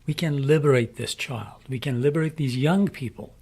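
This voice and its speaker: A middle-aged man from Iran with an Iranian accent, speaking English as a second language, making a slow, earnest inspirational appeal. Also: mid-range voice, vocal fry, a little mellow, slightly rough. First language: Farsi. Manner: slow, deliberate, emphatic phrasing. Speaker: speaker addressing young people.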